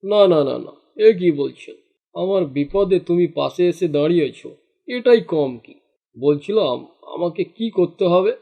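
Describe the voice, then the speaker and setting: A slightly muffled recording of a spiteful village fisherman, played by a man in a cartoon. His voice sits fairly high and he grumbles with jealous, greedy indignation. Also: animated, normal speed, gravelly. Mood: spiteful, scheming. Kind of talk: cartoon dialogue acting.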